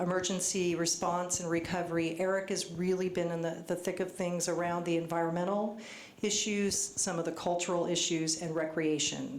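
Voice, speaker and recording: A soft-spoken woman, a state agency official, presenting at a public community meeting, a little nervous and reading from her notes. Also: fairly low pitch. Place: meeting hall. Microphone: handheld microphone. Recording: echoey hall with faint noise.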